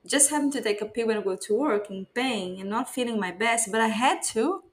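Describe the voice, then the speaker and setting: A woman's voice: fairly high pitch, normal speed. A woman whose first language is Portuguese, hosting a podcast and talking in a reflective, earnest way, with many fillers and restarts. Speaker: podcast host. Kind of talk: casual conversational interview.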